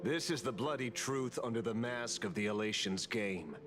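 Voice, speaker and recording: A man's voice: mid-range voice, expressive, normal speed, close to an everyday voice. An actor voicing a stern male interrogator in a video game, cold and probing, lecturing as he questions people. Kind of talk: game dub dialogue. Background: game music.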